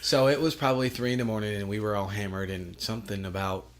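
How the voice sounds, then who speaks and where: Man, 40s, podcast host; low-ish voice, loose, crude, self-mocking banter. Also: fairly even intonation, quick pace. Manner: profane, joking, self-deprecating. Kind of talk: casual chat.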